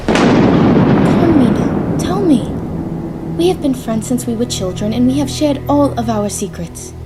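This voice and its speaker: An actress playing a caring young gentlewoman in a radio drama, soothing and coaxing in manner. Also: on the high side, expressive, measured pace, very noisy.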